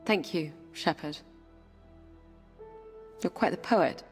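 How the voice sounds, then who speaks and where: A young blind princess, gentle yet determined, in a fairly low voice. She speaks earnestly and with measure, as in an audio adventure game, with music clearly behind her. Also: slow pace. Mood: calm, curious. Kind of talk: video game voice acting.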